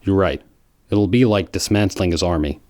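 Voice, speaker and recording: A serious, gruff hedgehog antihero played by a man in a fan audio drama. The voice is low and deadpan, with short guarded phrasing and a dry, close sound. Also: normal speed, no room sound, natural voice. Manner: deadpan, terse.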